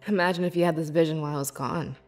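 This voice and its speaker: A woman playing a young, devoted beast-folk scribe in a fantasy video game, with earnest, measured and expressive delivery. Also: low-pitched, faint music behind, natural voice. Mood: explanatory, friendly. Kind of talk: scripted video game character dialogue.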